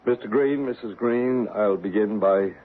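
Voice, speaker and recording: Man acting as calm ghost investigator, low voice, courteous and measured, reassuring, old radio play, thin phone-like sound. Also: natural voice. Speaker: paranormal investigator and narrator. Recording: band-limited, noisy old radio recording.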